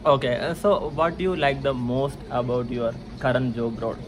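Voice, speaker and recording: A man's voice: mid-range voice, fairly even intonation, noisy background. Young man asking polite questions. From India. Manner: polite, slightly halting questions with fillers. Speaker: vlog host interviewing a colleague. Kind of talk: casual interview questions. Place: indoor hotel lounge.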